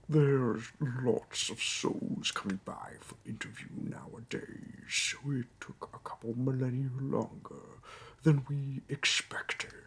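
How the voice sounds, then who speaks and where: A man's voice: mid-range voice, creaky, a bit bright, slight background noise. A man voicing a wry, courteous demon bureaucrat conducting interviews in hell, speaking formally and dryly in a scripted roleplay audio. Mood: polite, officious. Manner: dry, formal, politely officious. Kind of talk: scripted roleplay audio monologue addressed to the listener.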